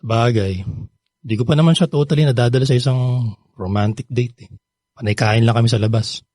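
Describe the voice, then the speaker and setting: An actor playing an earnest, lovestruck young suitor in a Filipino radio drama, pleading and sweet-talking with a Filipino accent. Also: male voice, medium pitch, a little fast, darkish tone, no echo, clean studio sound, natural voice. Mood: doubtful.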